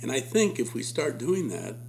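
A man's voice: medium pitch, sing-song, normal speed, creaky, a little mellow, a little hiss or hum, rough recording. A man interviewing a teacher, encouraging her and praising her answers. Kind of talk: interview conversation.

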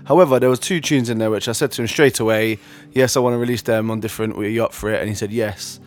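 A man's voice: fairly low pitch, steady tone, quick pace. A man hosting a music radio show, chatting keenly about new releases and calling people mate. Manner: enthusiastic, slangy, says mate.

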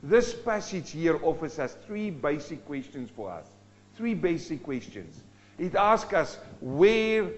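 Dynamic preacher from South Africa. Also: male voice, on the high side, highs cut off. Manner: dynamic, rising and dropping sharply for emphasis. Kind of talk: sermon.

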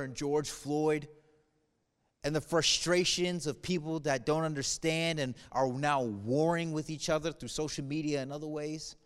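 A man's voice: a little high, clean tone. A man in his thirties from the United States, giving an earnest video message to a congregation, moving between playful humor and somber reflection. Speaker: church speaker. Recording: reverberant room.

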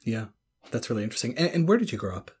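A man's voice: mid-range voice, big pitch swings, rapid-fire, a little mellow, husky. A man hosting a podcast, asking questions in a friendly, conversational way. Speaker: podcast host. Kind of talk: podcast interview.

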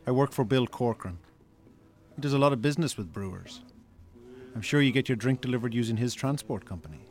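Guy playing irish hard man in a radio play, sweary, measured, menacing. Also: mid-range voice, lively intonation, lightly acted. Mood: coolly threatening. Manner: confrontational, deliberate, sweary. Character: stern, menacing Irish brother, coolly threatening. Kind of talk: radio drama acting.

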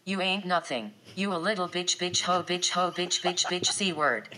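A synthesized female computer voice playing an earnest, literal phone AI, formal and deadpan in a story reading. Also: fairly low pitch, moderate pace, very noisy, natural voice. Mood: deadpan insulting. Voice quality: robotic computer voice.

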